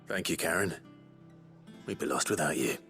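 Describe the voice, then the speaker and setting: An actor playing an earnest, considerate fantasy game hero, measured and polite with a raspy voice. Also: male voice, pushed and bright, soft background music, heavily acted. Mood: polite, resolute. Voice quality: low, husky, raspy. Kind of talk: video game voice acting.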